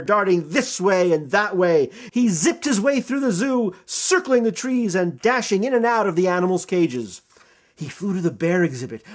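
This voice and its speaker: A man reading a children's storybook aloud as storyteller, with expressive, dramatic emphasis. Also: sits high.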